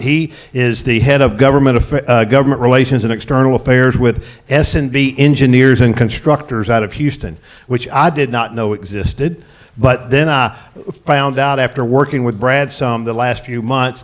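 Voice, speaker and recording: A middle-aged man, moderating a policy panel and introducing each guest with friendly, joking asides to the audience. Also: mid-range voice. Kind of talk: event introductions. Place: conference room before an audience.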